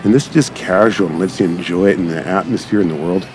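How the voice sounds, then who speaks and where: Male gaming creator, deep voice, casual enthusiastic rambling commentary, music underneath. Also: fast, a little mellow. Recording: background music behind the voice.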